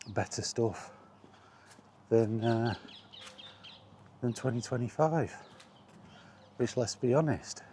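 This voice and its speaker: A middle-aged man in an unhurried, halting, reflective solo chat as a gaming vlogger. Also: lowish voice, a little mellow, slight background noise. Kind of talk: rambling casual chat about video games.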